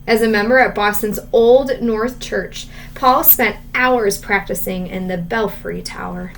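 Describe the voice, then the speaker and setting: A woman reading a children's storybook aloud as a teacher, expressive and measured, stressing key words and adding asides. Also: mid-range voice, gravelly, a little hiss or hum.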